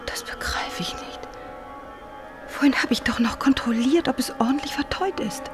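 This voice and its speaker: A woman playing a sensitive teenage daughter in a radio drama, hushed and often breathy. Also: sits high, normal speed, whispered, music in the background, natural voice. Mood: wondering. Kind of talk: German radio drama acting.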